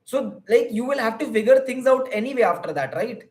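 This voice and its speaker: A man's voice: very high-pitched, animated, brisk. A young Indian man with an Indian English accent, a YouTuber and counselor answering viewer questions on a livestream in an earnest, advice-giving way, with many fillers and restarts.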